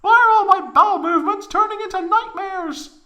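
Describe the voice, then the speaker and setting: A man voicing a giggly, dramatic young woman in a squealing, exaggerated falsetto for a comic fanfiction reading. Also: fairly quick, bright, forceful tone. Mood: exasperated. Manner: exaggerated, melodramatic.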